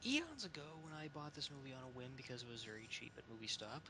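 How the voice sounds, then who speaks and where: A man's voice: very animated, fast talker, crowd noise. A man talking casually in a dry, wordy storytelling way. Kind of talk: casual anecdote and banter. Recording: rumbling background noise.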